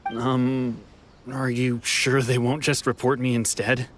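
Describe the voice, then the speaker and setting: A man's voice: medium pitch, level tone, slow, a little hiss or hum, natural voice. A man voicing a weary, regretful fugitive in a video game, hesitant and subdued. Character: weary, regretful male fugitive, resigned and apologetic.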